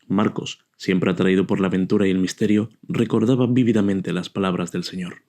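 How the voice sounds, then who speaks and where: Casting: male introductory narrator, scripted storytelling, soft dark timbre, on the low side. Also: steady tone, brisk.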